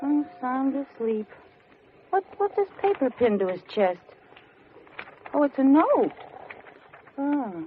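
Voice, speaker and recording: An American actress playing a playful, scheming, affectionate housewife in an old-time radio comedy, with a coy, theatrical delivery. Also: female voice, high-pitched, big pitch swings, slow, pauses often, exaggerated voice. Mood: fond. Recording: dull old radio recording, hiss, studio audience.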